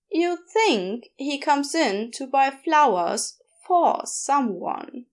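Wry, needling nonbinary neighbour for story audio, female performer: dry delivery, measured pace, raised pitch. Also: exaggerated voice. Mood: pointed. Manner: dry, teasing, pointed.

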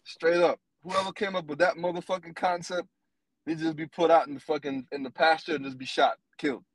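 A man's voice: a little high, a little fast, no room sound, poor audio. Blunt, profane man in his thirties. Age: 30s. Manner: animated, blunt, profane storytelling. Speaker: podcast co-host. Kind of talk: casual podcast chat.

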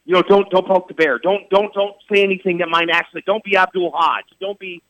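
A man's voice: sits high, fairly even intonation, brisk, fairly bright tone, no room sound. A man hosting sports radio talk, emphatic and repetitive, firing off rhetorical questions. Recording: dull radio studio sound, dry.

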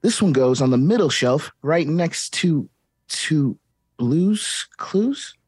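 A woman's voice: low voice, animated, slow pace, a little mellow, husky, no room sound, heavily acted. A woman playing a cheerful children's TV host in a comedic table read, with a perky, sing-song delivery. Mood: chipper. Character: upbeat kids' show host guiding viewers through clues. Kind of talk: comedic table read of a kids' show script.

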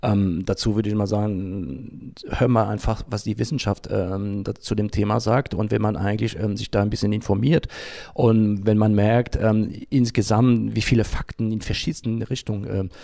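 A man giving unscripted interview answers, haltingly, often pausing and searching for his words. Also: fairly low pitch, little pitch movement, creaky, warm tone, no room sound, studio quality. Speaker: interview guest, environmental group founder.

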